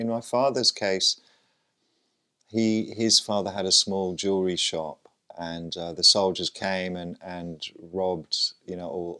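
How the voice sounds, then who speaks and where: Deep-voiced hesitant man. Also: bright, forceful tone. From Lebanon. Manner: hesitant, many fillers and restarts. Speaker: guest. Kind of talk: conversational interview.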